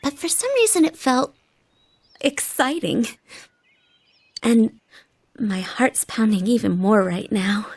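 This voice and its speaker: An actress playing a shy, polite young woman in anime game dialogue, soft-spoken and demure. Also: a little high, animated, slow pace, pauses often, husky, full character voice. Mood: happy, tender. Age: young adult.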